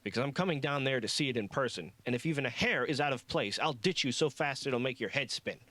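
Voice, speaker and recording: A man acts out an irate, menacing male boss in an audiobook. He growls and snaps his threats, pitched up and forceful, in a dry close recording. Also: animated, quick pace, dry recording. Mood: threatening.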